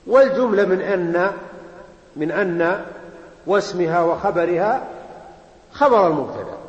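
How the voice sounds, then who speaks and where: A man teaching a grammar lesson. He explains step by step, repeats key phrases and puts questions to his students. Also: high voice. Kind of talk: classroom lecture on Arabic grammar. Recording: roomy hall, slightly muffled.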